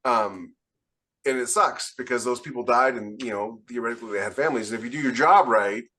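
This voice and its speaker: A man's voice: medium pitch, a little fast, sharp tone. A man co-hosting a podcast as an author, explaining his views in casual, conversational panel talk.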